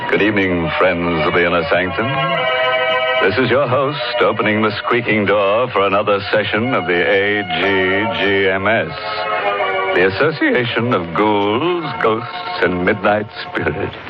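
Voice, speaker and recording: An actor as a deep ghoulish radio horror host. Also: male voice, unhurried, close to an everyday voice. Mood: mock-sinister, playful. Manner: theatrical, mock-sinister, macabre humor. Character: ghoulish, jesting male host of a horror club. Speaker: mystery show host. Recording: muffled old radio broadcast, loud organ music.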